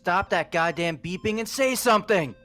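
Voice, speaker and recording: A man's voice: sits high, lively intonation, moderate pace, fairly bright tone, faint music behind. A man playing a hot-headed, foul-mouthed young delinquent in a fan game dub, aggressive and blunt. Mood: furious. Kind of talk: fan voice acting of a video game.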